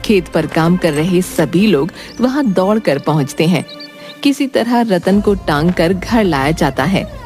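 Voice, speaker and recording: A woman's voice: on the low side, lively intonation, unhurried, a little mellow. A woman narrating a scripted children's cartoon story in Hindi. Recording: music behind the voice. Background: background music.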